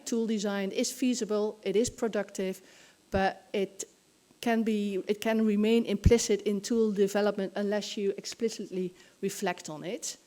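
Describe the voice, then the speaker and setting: A woman's voice: mid-range voice, dark tone. An older woman from the Netherlands giving an academic conference presentation, thinking aloud with some hesitation. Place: hotel conference room. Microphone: podium gooseneck microphone.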